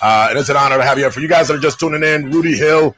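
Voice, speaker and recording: A man's voice: on the high side, rapid-fire, a bit bright, soft background music. A man from Puerto Rico hosting a live stream, chatting informally and addressing listeners like brothers. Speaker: live show host. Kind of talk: casual live-stream chat.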